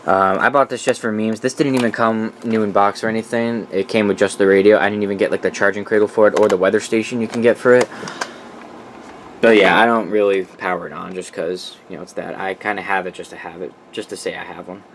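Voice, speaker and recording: Man, lower-pitched, informal hobbyist walkthrough, blunt opinions and swearing, faint noise behind. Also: steady tone, fast talker. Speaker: radio collector presenting a collection. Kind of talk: casual unscripted product walkthrough.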